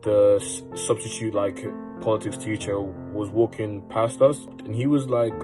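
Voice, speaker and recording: A young man from England tells a school story in a chatty way, acting out each quote. His voice sits a little low. Guitar music plays behind him. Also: steady tone, measured pace, low-quality recording. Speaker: interviewee. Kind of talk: personal testimony to camera.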